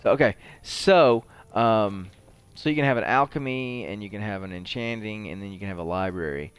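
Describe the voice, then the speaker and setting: A man's voice: on the low side, moderate pace, clear, resonant tone. A man giving casual gameplay commentary, rambling and conversational as he thinks aloud. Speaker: host of a video game playthrough show.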